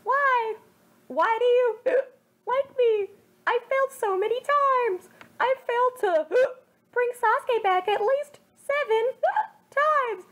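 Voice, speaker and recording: A woman's voice: slow, a bit bright, full character voice. A woman voicing a distraught young male ninja who cries and gasps between words. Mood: sobbing. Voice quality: high, tearful, gasping.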